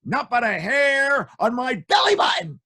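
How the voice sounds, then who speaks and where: A man's voice: sing-song, a little slow, vocal fry, bright, forceful tone, full character voice. A man voicing frightened, forgetful little pigs in a comic fairy tale. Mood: flustered. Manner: squeaky, flustered, panicky.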